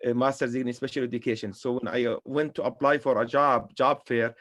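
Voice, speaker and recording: A man whose first language is Arabic, a teacher being interviewed on a video call, speaking haltingly with many pauses and restarts. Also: medium pitch, steady tone, fairly bright tone.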